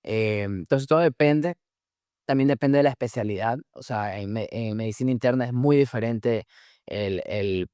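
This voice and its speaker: A man in conversation, explaining step by step as he goes, often restarting phrases and filling pauses. His voice sits at an ordinary middle pitch. The recording is dry and close, with no room echo. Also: a little mellow. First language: Spanish. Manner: explanatory, frequent restarts and fillers. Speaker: guest, surgery resident in the US. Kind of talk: conversational interview answers.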